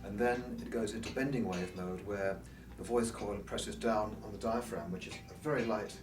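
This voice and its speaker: A man's voice: fairly low pitch, normal speed. A man giving an informal, explanatory technical product presentation as an exhibitor at a show. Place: room at an audio show. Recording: roomy, distant mic, music behind.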